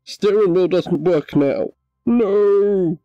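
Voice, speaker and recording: A man chatting casually on a VR game livestream, relaxed and hesitant, with many ums. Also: high-pitched, extremely slow, no echo, highs cut off, soft background music. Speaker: livestream gamer.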